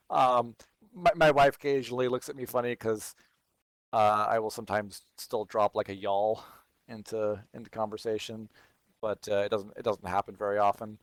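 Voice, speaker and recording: A man's voice: fairly low pitch, dry recording. A man from Texas with no regional accent, hosting a livestream and answering viewer questions in casual, think-aloud chat.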